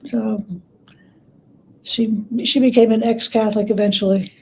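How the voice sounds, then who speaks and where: A woman talking as an interview guest, telling stories in a halting, reflective way with repeated words. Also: a little high, little pitch movement, a crowd in the background, poor audio. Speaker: guest author and biographer. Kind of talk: conversational interview storytelling.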